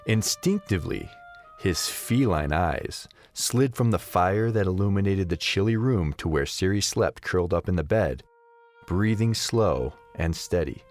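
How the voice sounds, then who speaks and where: A man's voice: low voice, lively intonation, unhurried, soft background music. A man narrating a scripted story as the narrator of an audio drama.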